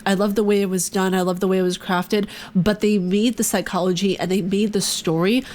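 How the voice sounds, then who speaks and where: A woman in her thirties chatting casually as a podcast guest, telling stories with animated laughter. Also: mid-range voice, brisk. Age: 30s. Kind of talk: casual chat.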